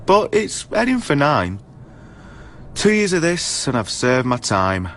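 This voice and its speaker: An actor playing a weary, indebted telesales worker in a poetic radio drama, with dogged, polite patter. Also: male voice, on the high side, measured pace, slight background noise, heavily acted. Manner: polite salesman patter, swinging to flat weariness.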